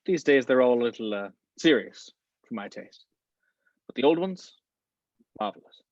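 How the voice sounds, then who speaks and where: A man reading a suave, polished gentleman spy in an online table read, with a measured, refined delivery. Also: mid-range voice, lively intonation, no room sound, close to an everyday voice. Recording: dry, dull home mic.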